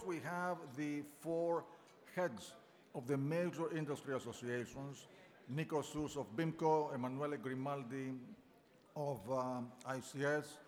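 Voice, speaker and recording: A man hosting an event luncheon, giving an unscripted, halting welcome speech and thanking his guests. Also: a little high. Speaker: event host and organizer. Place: large banquet hall. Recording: long reverb of a large hall.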